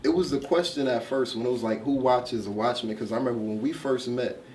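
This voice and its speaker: A man's voice: medium pitch, a little fast, darkish tone, slight background noise. A young man from Brooklyn, telling stories and joking as an interview guest. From Brooklyn, New York. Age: young adult. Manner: storytelling, joking, street slang. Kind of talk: casual chat. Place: indoor studio with backdrop. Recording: distant from the mic.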